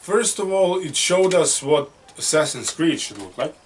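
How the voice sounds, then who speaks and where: A man's voice: on the high side, lively intonation, normal speed. A man co-hosting a casual movie podcast, talking eagerly and often over his co-host. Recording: distant mic with faint noise.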